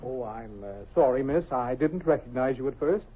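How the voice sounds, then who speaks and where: A man's voice: medium pitch, expressive, moderate pace, natural voice. An actor playing a stiff, formal male butler in an old radio drama, polite and deferential. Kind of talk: 1950s radio drama acting. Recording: dull old radio recording with faint hiss, voice off-mic.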